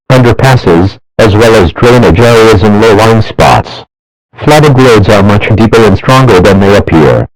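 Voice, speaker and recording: Low-pitched synthetic male alert announcer. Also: dry recording, rough recording. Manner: formal, measured, impersonal. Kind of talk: scripted emergency warning bulletin.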